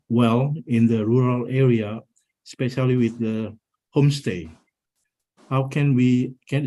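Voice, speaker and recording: A man from Indonesia asking a question in an online webinar, hesitant and full of pauses. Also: medium pitch, mellow, rough recording. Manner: hesitant, many fillers. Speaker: audience member asking a question.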